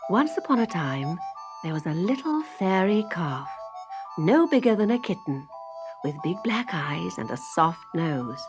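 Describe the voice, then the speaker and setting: A woman narrating a children's story in an expressive storytelling manner. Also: fairly low pitch, a little slow. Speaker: storybook reader. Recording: faint music behind the reading.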